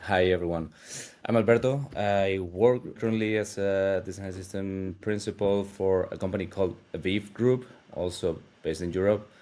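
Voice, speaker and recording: A man from Spain introducing himself on a panel in a relaxed way, restarting phrases as he goes. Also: low-pitched, normal speed. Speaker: panel speaker. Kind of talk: self-introduction on a video call.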